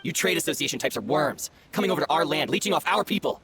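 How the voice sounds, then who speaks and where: An actor playing a cocky, sneering young bandit bully in a video game, taunting and mocking. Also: male voice, on the high side, expressive, quick pace, noisy background, close to an everyday voice. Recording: music behind the voice.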